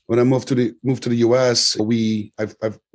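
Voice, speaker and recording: A man's voice: medium pitch, flat delivery, normal speed. A man in his forties, originally from Poland and raised in Germany, talking as a guest in a loose, conversational way with frequent restarts. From born in Poland, grew up in Germany. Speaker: guest, tech company CEO. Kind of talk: podcast interview.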